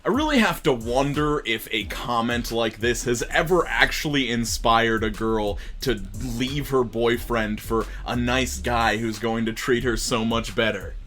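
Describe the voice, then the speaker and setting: A man delivers casual online commentary in a conversational, opinionated manner. His voice sits at a fairly high pitch for a man, with faint music behind it. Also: a little slow, hardly any pauses, fairly bright tone. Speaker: guest video commentator. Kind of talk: casual commentary, reading online posts aloud.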